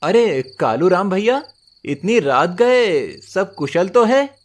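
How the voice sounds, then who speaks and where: A man voicing a humble, kind-hearted young village herdsman in a moral cartoon story, earnest and gentle. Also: sits high, sing-song, slow pace, heavily acted. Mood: puzzled, polite.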